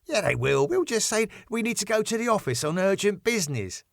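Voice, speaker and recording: A cheeky comic lad, performed by a man reading a sitcom script, talking fast and breezily. The voice sits above a middle pitch and bluffs along in loose, colloquial phrases. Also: sing-song, creaky, no echo, clean studio sound, exaggerated voice. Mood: breezy, cheeky. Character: Dave, cheeky glib quick-thinking British lad.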